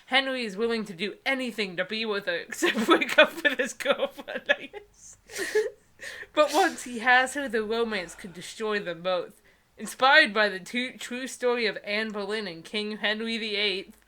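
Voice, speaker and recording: A woman's voice: on the high side, moderate pace, pushed and bright. A young woman co-hosting a casual, mocking read-aloud, sarcastic and giggly, tripping over words as she goes. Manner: sarcastic, giggly, often stumbles while reading. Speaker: co-host.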